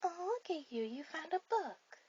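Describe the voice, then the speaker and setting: A woman voicing a shy, sweet, bookish teenage anime girl in a soft-spoken, hesitant ASMR roleplay. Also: extremely high pitch, very animated, moderate pace. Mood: quietly excited. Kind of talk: ASMR romantic roleplay addressed to the listener. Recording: dull lo-fi audio with clear hiss.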